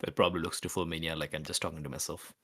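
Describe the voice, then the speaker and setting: Low-voiced man playing a tabletop game. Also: little pitch movement, racing, bright, forceful tone, no echo, clean studio sound. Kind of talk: casual chat and roleplay description.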